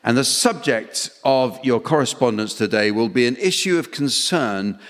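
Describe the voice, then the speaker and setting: A man's voice: mid-range voice, expressive, moderate pace. A man hosting a comedy panel game as chairman in front of a live audience. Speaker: guest chairman of a radio panel show. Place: stage before a live audience. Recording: hall echo with audience behind.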